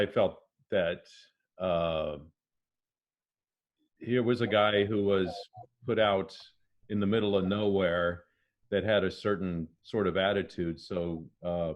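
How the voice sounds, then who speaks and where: Really deep voiced guy, talks super slow and halting, casual panel chat, muffled stream audio. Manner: halting, thoughtful, many pauses. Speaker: volunteer voice actor on a panel. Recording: dull lo-fi online stream audio.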